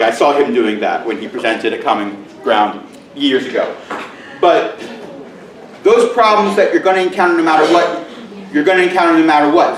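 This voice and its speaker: Middle-aged guy teacher with a big booming voice, bit high, recorded from across an echoey room. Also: distant mic. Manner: loud booming voice, joking aside. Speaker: teacher presenting at a conference. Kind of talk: conference presentation. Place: large echoey conference room. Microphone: camera mic from far off.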